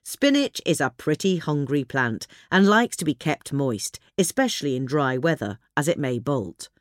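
A woman reading a gardening magazine article aloud in a measured, clear way. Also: low voice, big pitch swings, dry recording, studio quality. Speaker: reader. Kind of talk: scripted narration of a gardening article.

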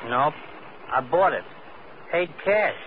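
An actor playing a friendly, streetwise cabbie with a shady past and slangy, ungrammatical speech in a 1950s radio drama. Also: male voice, on the high side, animated, slow pace. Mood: chummy, sheepish. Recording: old lo-fi radio recording with noise.

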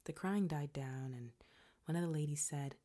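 A woman narrating a personal story in a soft, breathy, measured way. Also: sits low.

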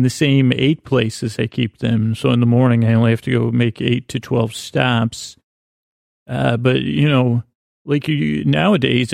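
A man hosting a podcast with a rambling, comedic bedtime monologue, halting and often interrupting himself. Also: medium pitch, darkish tone, no room sound, clean studio sound. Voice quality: creaky, gravelly. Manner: halting, meandering, self-interrupting. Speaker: podcast host.

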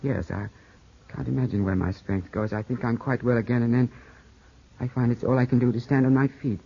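An actor playing an earnest, anxious young English gentleman weakened by illness, in formal 1950s radio drama style on an old broadcast. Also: mid-range voice, steady tone, a little fast, creaky, breathy edge. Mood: weary. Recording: muffled 1950s radio broadcast, music behind.

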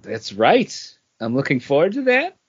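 A man's voice: a little high, huge pitch swings, measured pace, husky. A man co-hosting a podcast in a casual, conversational style. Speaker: podcast co-host. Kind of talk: casual chat. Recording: dull, lo-fi podcast audio.

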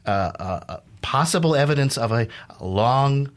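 Easygoing man with a mid-pitched voice. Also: animated, a little slow. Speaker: improv comedy troupe member and guest. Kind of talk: casual chat and improvised scene dialogue.

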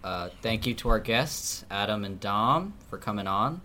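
A man hosting a casual podcast, asking quizzical questions in an animated way. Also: fairly low pitch, a little slow, a little hiss or hum. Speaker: podcast host.

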